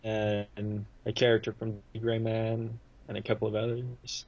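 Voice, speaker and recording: Husky guy guesting on a podcast, casual chat, kinda muffled cheap call audio. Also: lowish voice, level tone, moderate pace, darkish tone. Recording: dull, lo-fi voice call.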